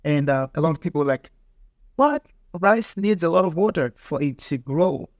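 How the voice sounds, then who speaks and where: A man with a fairly high voice talks earnestly as a guest, halting now and then to find his words. His is Ndau. The recording is dry and close, with no room sound. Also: expressive, no echo. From Mozambique. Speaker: guest, farmer and peace builder. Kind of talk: casual interview talk.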